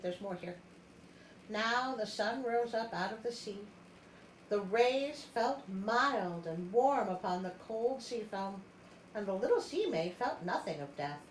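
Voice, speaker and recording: A woman hosting a comedic storybook reading, theatrical and wry, with expressive asides. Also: mid-range voice. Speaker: storyteller, show host. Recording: roomy echo, faint background noise.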